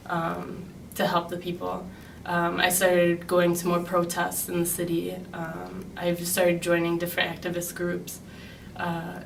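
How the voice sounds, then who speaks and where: A woman, earnestly recounting her views in an interview with frequent "um" pauses. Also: on the low side, vocal fry, distant mic. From Chicago, United States. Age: 20s. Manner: earnest, steady, frequent "um" fillers. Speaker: interviewee, graduate student. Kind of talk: personal interview account. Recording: echoey room, speaker away from mic, faint noise.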